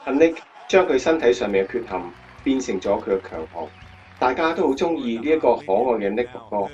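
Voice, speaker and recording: A man's voice: medium pitch, lively intonation, a little fast, darkish tone, distant mic, lightly acted. Actor as earnest male interviewer, muffled. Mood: admiring. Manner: earnest, presenterly. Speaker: interviewer and narrator. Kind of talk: scripted radio drama narration. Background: guitar music.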